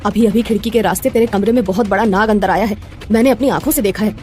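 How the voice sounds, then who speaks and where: A woman's voice: mid-range voice, fast talker, a little mellow, soft background music, natural voice. A woman voicing an anxious, suspicious middle-aged mother-in-law in a dramatic moral story. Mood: alarmed.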